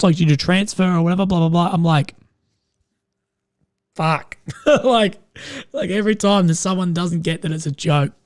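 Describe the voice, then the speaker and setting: A young man with a strained, slightly croaky voice, rambling and swearing as he chats with viewers on a live stream. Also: high-pitched, a little mellow, dry recording, clean studio sound. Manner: rambling, swears often, laughs at own jokes. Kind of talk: casual live stream chat.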